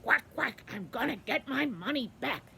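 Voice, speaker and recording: A woman voicing a small, indignant male duck in a folktale, loud and quacking, punctuating speech with quacks. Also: sits high, expressive, normal speed, creaky, slight background noise, exaggerated voice. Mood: loud, eager. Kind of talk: children's folktale storytelling.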